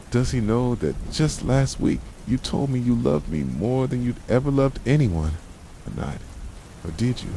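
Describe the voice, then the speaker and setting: Very deep soft male voice, man acting possessive yandere boyfriend, accusing and intense, ASMR roleplay, rain and thunder behind. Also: creaky, noisy background. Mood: agitated, jealous. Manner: accusing, intense, dramatic direct address. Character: possessive, jealous yandere boyfriend confronting his partner. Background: rain and thunderstorm ambience.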